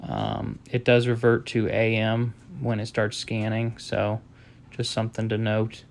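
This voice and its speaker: A man giving an informal step-by-step tutorial as a product demonstrator, explaining in a halting, matter-of-fact way. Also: lowish voice, completely flat delivery, creaky, slight background noise.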